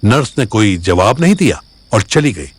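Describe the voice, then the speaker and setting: A man's voice: lowish voice, big pitch swings, moderate pace, no echo. A man with an Indian accent narrating a scripted dramatic audio story.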